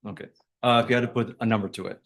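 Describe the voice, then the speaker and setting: A man's voice: fairly low pitch, steady tone, very fast, gravelly, a bit bright. A man working as a prosecutor, cross-examining a witness in court in an informal, probing, plain-worded way.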